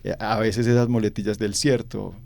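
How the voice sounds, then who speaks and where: A man's voice: fairly low pitch, steady tone, moderate pace, vocal fry, darkish tone, no room sound. A young Colombian man with a paisa accent, giving thoughtful interview answers full of fillers and repeated words. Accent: Colombian paisa Spanish. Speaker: interview guest. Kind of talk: reflective conversational interview answers.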